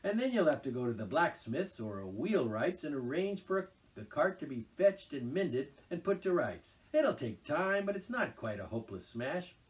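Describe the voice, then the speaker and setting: A man's voice: a little high, very animated, distant mic, voice pushed up. A man doing a stern, exasperated water rat. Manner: firm and brisk. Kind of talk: storybook reading aloud.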